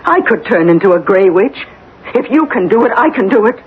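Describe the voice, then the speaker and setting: A woman's voice: mid-range voice, very animated, fairly quick, close to an everyday voice. An actress playing a stern, jealous middle-aged witch mother, scolding indignantly in melodramatic vintage radio drama style. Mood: desperate, defiant. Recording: tinny, noisy old radio recording.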